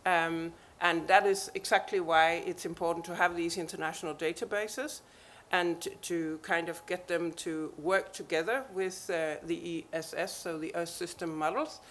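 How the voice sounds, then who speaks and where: A woman on a conference panel answering an audience question in a measured, explanatory way. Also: fairly low pitch, fairly even intonation, a little hiss or hum.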